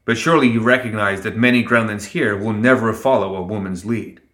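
Man playing a formal, measured gremlin statesman. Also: fairly low pitch, steady tone, a bit bright, reverberant, close to an everyday voice. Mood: solemn.

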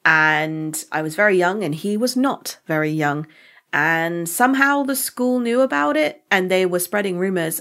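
A young British woman co-hosting a book podcast, speaking candidly in casual chat and telling personal stories with frequent restarts, her voice going hoarse. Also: deep voice, fairly bright tone. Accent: British English. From United Kingdom. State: losing her voice. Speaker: podcast co-host.

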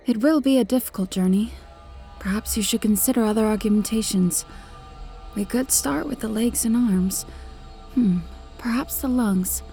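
An actress playing a devout, confident machine-cult tech priest with a solemn, formal manner in an audio drama. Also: female voice, on the high side, moderate pace, warm tone, natural voice. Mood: clinical, encouraging. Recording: faint music behind the voice.

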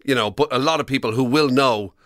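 A man's voice: mid-range voice, fairly quick, fairly bright tone, no echo, clean studio sound. An Irish man co-hosting a radio show in casual, bantering conversation.

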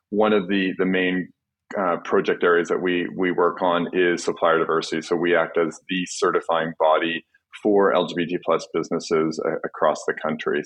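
A middle-aged man with a very deep voice answers interview questions. He is articulate and friendly, laying out his points in an organized way with the odd filler. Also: fairly bright tone. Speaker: guest, organization CEO. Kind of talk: podcast interview.

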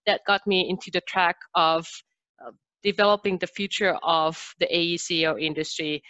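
A middle-aged woman from Finland with a Finnish accent, telling her career story as an interview guest with many pauses. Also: lowish voice, steady tone, sharp tone, no room sound, muffled recording. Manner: earnest, with frequent "uh" and "um" pauses. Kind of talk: conversational interview.

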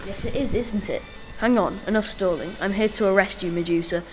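A woman's voice: mid-range voice, a little fast, soft background music, natural voice. A female performer playing a righteous, pompous talking banana agent in an amateur comic radio play, declamatory and officious. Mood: stern.

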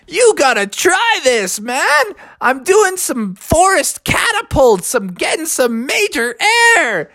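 Woman voicing a wild, high-pitched rowdy male owl. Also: very animated, slow, hardly any pauses, fairly bright tone, no room sound, full character voice. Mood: excited. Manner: whooping, hollering, cocky and playful. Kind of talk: children's storybook character voice.

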